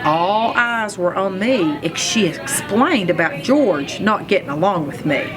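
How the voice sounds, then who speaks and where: A woman reads a children's story aloud at an unhurried pace, with lively swings in pitch. She leans on key words expressively, telling it in the first person. Music plays clearly behind her. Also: rough recording. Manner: expressive, deliberate delivery with emphasized words. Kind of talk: children's chapter book read-aloud, first-person narration.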